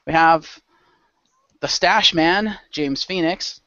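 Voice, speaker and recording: A man's voice: fairly high pitch, takes it slowly, background noise. A man hosting and narrating a role-play show as game master in a loose, informal way. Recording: dull lo-fi close microphone.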